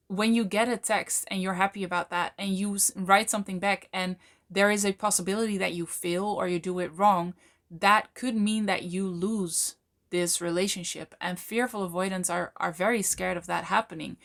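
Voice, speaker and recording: A Dutch woman, a native Dutch speaker talking in English, explaining things to camera in a thoughtful way, with many fillers and slow, stretched words for emphasis. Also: medium pitch, gravelly, fairly bright tone. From Netherlands. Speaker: channel host, coach. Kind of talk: casual explanatory talk to camera.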